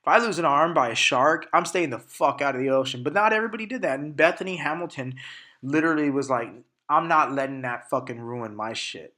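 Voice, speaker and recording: A man's voice: on the high side, brisk, pushed and bright. Young male podcast host, stuffy nose from allergies, candid and swears a lot, restarts sentences often. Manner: candid and profane, with frequent restarts and fillers. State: congested and sniffling from allergies. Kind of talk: casual solo podcast monologue.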